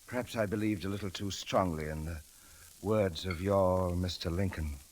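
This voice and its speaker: An actor playing a deferential British manservant turned proprietor, formal, polite and halting, in 1930s radio acting style. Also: male voice, low-pitched, a little mellow, close to an everyday voice. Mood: downcast, tender. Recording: vintage radio broadcast recording.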